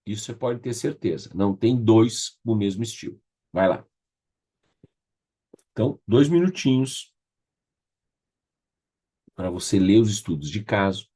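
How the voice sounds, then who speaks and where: A man's voice: on the low side, expressive, a little slow, long pauses, mellow, husky. A Brazilian man facilitating an online class, giving informal, directive instructions and checking in with participants.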